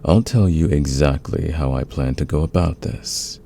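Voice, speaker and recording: A man playing a smitten, dominant fantasy villain in a romantic ASMR roleplay, speaking in an intimate, teasing, confident way with a gravelly, husky voice. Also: rumbling low, moderate pace, dark tone, slight background noise. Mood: confessional, seductive. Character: smitten male fantasy villain, patient, dominant, smoothly seductive.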